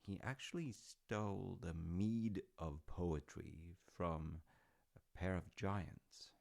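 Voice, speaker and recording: A man's voice: sits low, slow, gravelly, darkish tone, heavily acted. A man playing an easygoing dad in an intimate audio roleplay, telling old myths casually with wry, understated humour. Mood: wryly amused. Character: easygoing, artsy dad telling Norse myths to his grown child.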